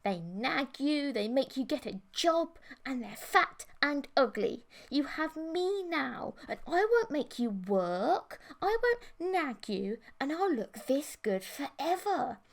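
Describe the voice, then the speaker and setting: Audiobook role: alien mantis girlfriend, played by a woman, high voice, clingy, coy, insistent manipulator. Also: big pitch swings, takes it slowly, vocal fry, few pauses, full character voice. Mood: coaxing, possessive. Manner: coaxing and insistent. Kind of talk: audiobook character voice.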